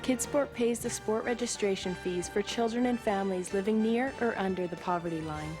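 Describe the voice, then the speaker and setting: A woman's voice: medium pitch, a little fast, rough recording. A woman reading a scripted charity advertisement as announcer. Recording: music behind the voice.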